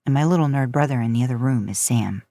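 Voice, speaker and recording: A woman's voice: a bass voice, fast, mellow, no room sound, voice pushed down. A woman voicing a guarded, wisecracking teenage boy, a tough older brother, slangy and offhand, in a story reading.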